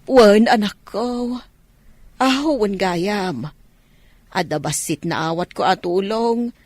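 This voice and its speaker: A woman playing a grateful, gentle elderly village grandmother in an Ilocano radio drama. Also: mid-range voice, slow, no echo. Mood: affectionate, reassuring.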